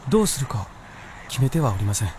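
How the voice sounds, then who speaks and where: A woman's voice: extremely low pitch, sing-song, fast talker, warm tone, slight background noise. A woman voicing an earnest, polite young male fox spirit in a Japanese period radio drama, sincere and deferential. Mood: earnest, uncertain.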